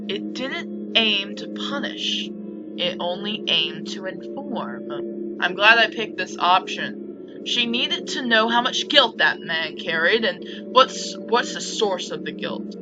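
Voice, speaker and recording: A woman narrating a visual novel aloud, reading dramatically and breaking off into casual side comments. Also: mid-range voice. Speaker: video game streamer and narrator. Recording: dull lo-fi mic with music behind.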